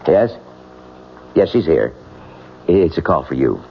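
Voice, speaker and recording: Deep, gravelly, kindly office boss. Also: male voice, big pitch swings, exaggerated voice. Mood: curious. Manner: reassuring, measured, slightly halting. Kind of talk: old radio drama acting. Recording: muffled old radio recording, faint noise.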